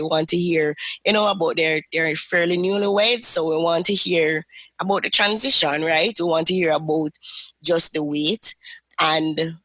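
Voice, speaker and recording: A woman's voice: lowish voice, fairly bright tone, no echo. A woman hosting a faith podcast interview, chatty and enthusiastic. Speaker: podcast host.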